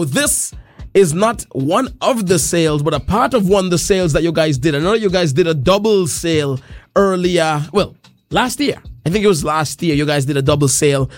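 A man hosting a casual radio interview, playful and quick to laugh, often repeating his words. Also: a little high, no room sound. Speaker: radio host.

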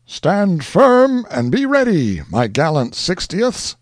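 A man voicing an older fort commander who shouts orders in a theatrical, melodramatic way. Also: sits high, big pitch swings, takes it slowly, no room sound, studio quality, pitched above the performer's own voice, full character voice. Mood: commanding.

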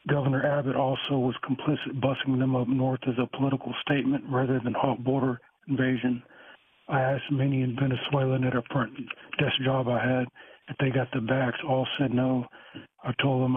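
A man rambles through a call-in, stumbling over restarts and fillers in a fairly flat delivery. His voice is a little husky and comes through a tinny phone line. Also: mid-range voice, no echo. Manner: halting, many restarts and fillers. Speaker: caller.

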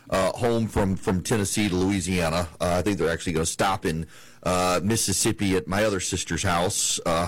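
A man's voice: fairly low pitch, dry recording, rough recording. Southern male talk radio host, dry studio. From American South. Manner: rambling, many restarts and fillers. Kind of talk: conversational political talk radio monologue.

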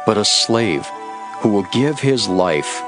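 A man playing a calm, authoritative religious teacher in a solemn, declarative way, in a dramatized scripture recording. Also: lowish voice, takes it slowly, music in the background. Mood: calm, instructing. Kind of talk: dramatized Bible audio.

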